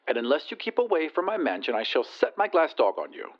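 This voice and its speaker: A man's voice: on the high side, very animated, quick pace, lo-fi sound, pitched above the performer's own voice. A man voicing a vain, haughty young society lady in a storybook reading, blunt and condescending. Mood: disdainful.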